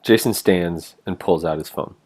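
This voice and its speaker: A man's voice: low-pitched, moderate pace, darkish tone. A man reading stage directions as plain scripted narration for a play.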